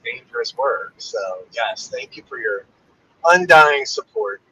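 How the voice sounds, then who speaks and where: A man's voice: medium pitch, wildly swooping pitch, a little slow, sharp tone, husky. A man hosting a casual live stream, joking in a dry way with his co-host. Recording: dull lo-fi sound.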